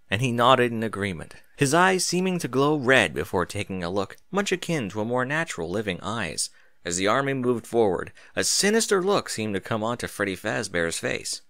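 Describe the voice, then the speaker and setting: Animated male story narrator, very dry sound. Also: mid-range voice, no room sound. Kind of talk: scripted dramatic story narration.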